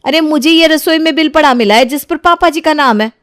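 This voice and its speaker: A woman's voice: high-pitched, brisk, pitched above the performer's own voice, natural voice. A woman voicing an insolent, scheming young daughter-in-law in a moral story, sarcastic and defiant in manner. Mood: indignant, accusing.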